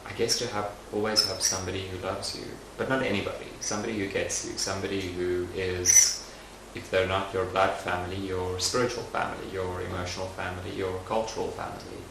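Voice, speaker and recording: Brief: young man in an interview, deep voice with a rough fry, reflective and soft-spoken, echoey room. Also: level tone, brisk, hardly any pauses, background noise. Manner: thoughtful, soft-spoken, frequent fillers. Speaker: documentary interviewee. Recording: roomy echoey recording.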